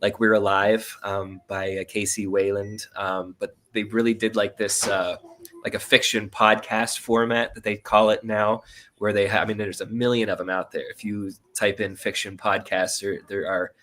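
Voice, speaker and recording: A man talking as an interview guest, explaining things casually and haltingly. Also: fairly low pitch, little pitch movement, a bit bright. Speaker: guest, audio drama writer and producer.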